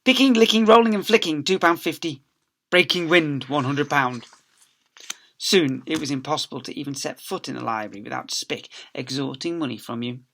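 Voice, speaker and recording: A man who is a teacher reading a story aloud to children, with animated, theatrical emphasis. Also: a little high, normal speed, creaky. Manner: theatrical emphasis with acted sound effects.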